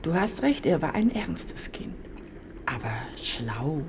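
An actress playing a doting, fretful and insistent wife in a radio play, with a theatrical manner. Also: female voice, deep voice, big pitch swings, takes it slowly, creaky, heavily acted. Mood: wistful. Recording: noisy old radio recording.

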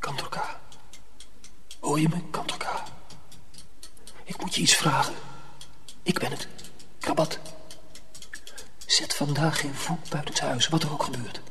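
A man playing an earnest, anxious young miller's apprentice in a radio drama, asking questions in a halting way. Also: on the high side. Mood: hushed pleading. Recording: clearly noisy old radio recording.

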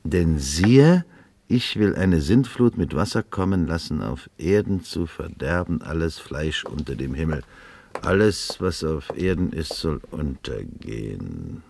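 A man's voice: very deep voice, very animated, a little slow, gravelly, a little mellow, slightly rough, heavily acted. An actor playing a curious, dryly sardonic man puzzling over documents in a 1970s German radio play. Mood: solemn reading. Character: curious, wry man deciphering a coded document.

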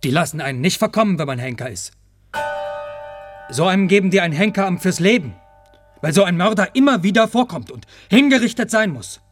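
An actor in a radio play voicing an eager working-class man who justifies himself in halting, rambling colloquial speech. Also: sits high, expressive, faint music behind. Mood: insistent. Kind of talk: radio play monologue and dialogue.

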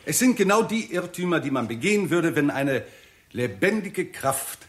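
An actor playing a persuasive, methodical scientist in a 1960s German radio play, speaking deliberately and precisely. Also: male voice, a little high, lively intonation, lightly acted. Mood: earnest, persuasive. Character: persuasive, methodical male scientist defending an absurd hypothesis.

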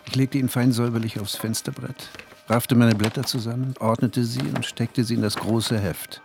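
Actor as anxious husband, noisy radio play. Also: male voice, medium pitch, steady tone, gravelly, a little mellow, close to an everyday voice. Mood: sober, resigned. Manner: introspective, switches from measured narration to agitated pleading. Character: anxious married man, a scientist, narrating his own story. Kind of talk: radio drama narration and dialogue.

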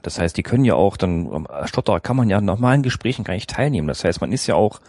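A man's voice: on the low side, expressive, quick pace, a little mellow, dry recording. A man hosting an interview, talking in a conversational and reflective way and asking leading questions. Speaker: podcast host.

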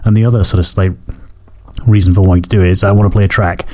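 Croaky guy with a cold doing his podcast, low mellow voice, talks fast and keeps correcting himself. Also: steady tone. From Scotland. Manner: rambling, self-correcting, apologetic. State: hoarse from a cold. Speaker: podcast host. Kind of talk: casual solo podcast chat.

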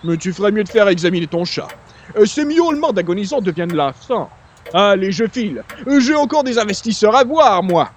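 A man playing an excitable, boastful gadget peddler in a radio comedy, with theatrical sales patter and a Quebec French accent. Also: high-pitched, a little fast, slight background noise. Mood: brisk, slightly miffed. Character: excitable, boastful male gadget peddler pitching silly inventions. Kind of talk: old-time radio comedy acting.

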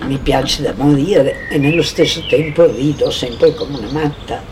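A man sharing a personal recollection in a halting, emotional, conversational way. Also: medium pitch. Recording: noisy ambience with birdsong.

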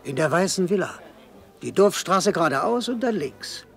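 Creaky, high-voiced male innkeeper. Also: animated, normal speed, fairly bright tone, full character voice. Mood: dry, obliging. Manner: terse, matter-of-fact. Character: unhurried village innkeeper. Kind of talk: German radio drama acting.

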